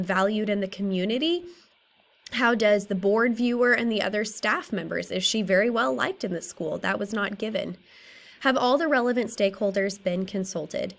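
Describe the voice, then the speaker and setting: A woman in her thirties with a slightly raspy, tired voice, methodically presenting a school case study for a class. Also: mid-range voice. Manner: steady, methodical, works through a list of questions. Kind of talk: reading a prepared class presentation.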